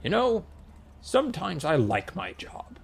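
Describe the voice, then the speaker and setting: A man's voice: high voice, huge pitch swings, a little slow, creaky, breathy edge, voice pushed up, exaggerated voice. A man voicing an impatient, self-important male god of rocks in a comic fantasy audiobook. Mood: curious, playful.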